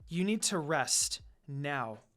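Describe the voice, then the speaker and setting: A man's voice: a little high, sing-song, takes it slowly, a bit bright, full character voice. Man voicing an earnest, firm young girl ninja medic. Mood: firm, concerned. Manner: serious, firm, clinical.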